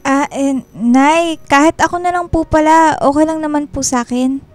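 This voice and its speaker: High-pitched timid young girl, played by a woman. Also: normal speed, a little mellow, no echo, natural voice. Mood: meek, hesitant. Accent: Filipino. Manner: hesitant, meek and polite, pleading. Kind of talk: Filipino radio drama acting. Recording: music bed behind the voice.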